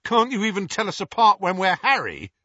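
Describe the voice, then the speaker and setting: A man's voice: high-pitched, huge pitch swings, fairly quick, pushed and bright, husky, no echo, voice pushed up, exaggerated voice. A man voicing a cheeky teenage wizard twin who teases playfully, on a slightly dull recording. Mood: teasing. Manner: teasing, playful.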